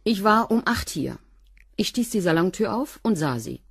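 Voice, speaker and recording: A woman's voice: mid-range voice, big pitch swings, moderate pace, gravelly, slightly rough, dry recording, studio quality, heavily acted. An actress playing a curt, unfazed cleaning woman in a crime radio play, giving blunt, clipped answers. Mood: blunt, guarded.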